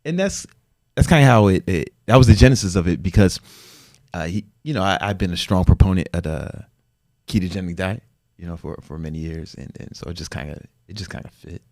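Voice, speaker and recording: A man's voice: low voice, fairly quick, darkish tone, no room sound, studio quality. A man in his forties, a fitness trainer guesting on a podcast, talking in a laid-back, slangy way and laughing often. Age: 40s. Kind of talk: casual interview.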